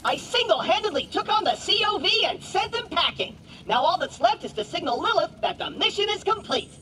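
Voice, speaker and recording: Squeaky boastful little robot. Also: male voice, animated, brisk, bright, forceful tone, echoey room, far from the mic, slight background noise, exaggerated voice. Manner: pompous, bombastic, exclamatory. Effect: synthetic robot voice processing. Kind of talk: video game character acting.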